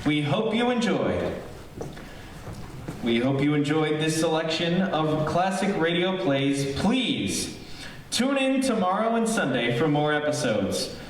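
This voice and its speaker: A man's voice: on the high side, very animated, slow pace. A man acting as announcer for a radio play troupe, giving a formal closing sign-off. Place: echoey room, live streamed performance. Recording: echoey, distant, noisy streamed stage audio.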